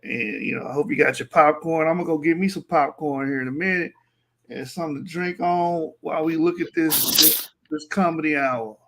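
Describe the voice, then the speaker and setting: A man's voice: on the high side, a little fast, fairly bright tone, a little hiss or hum. A young man co-hosting a casual reaction show, laughing often and trash-talking in loose slang. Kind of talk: casual mocking commentary.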